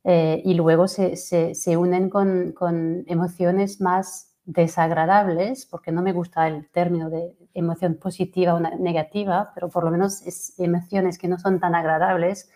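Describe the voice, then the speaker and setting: A woman's voice: on the low side, flat delivery, moderate pace, hardly any pauses, mellow. A French woman in her forties, a fertility coach giving a webinar talk over a video call, hesitant with many fillers and restarts. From France. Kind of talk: webinar presentation.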